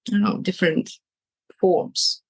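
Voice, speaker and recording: A woman with a Ukrainian accent, a coach speaking in an interview, choosing her words slowly with many pauses. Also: lowish voice, steady tone, creaky, husky. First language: Ukrainian or Russian. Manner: slow and searching, pausing between words. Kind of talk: reflective interview answers.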